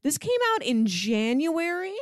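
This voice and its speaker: A woman's voice: sits high, sing-song, unhurried, dry recording. Casual woman podcast co-host, dry close sound. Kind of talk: casual conversational TV review.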